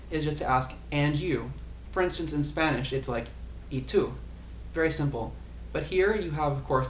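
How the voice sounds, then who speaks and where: A man giving a casual language lesson, patiently explaining and repeating example phrases. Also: medium pitch. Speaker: language tutor. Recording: echoey room, faint ambient noise.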